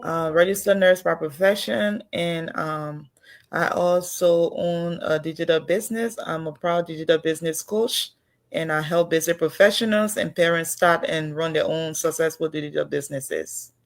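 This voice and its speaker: Liberian-born woman with a lowish voice. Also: steady tone, moderate pace. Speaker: panel guest. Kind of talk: casual panel discussion.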